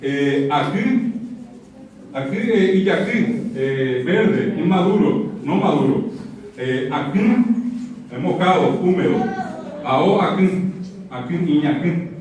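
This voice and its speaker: A man's voice: on the high side, lively intonation, slow pace, long pauses, darkish tone. A man giving an interactive language lecture, didactic, repeating example words and putting questions to the audience. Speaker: lecturer teaching Guaraní. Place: university auditorium. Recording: very echoey hall, distant mic, audience noise.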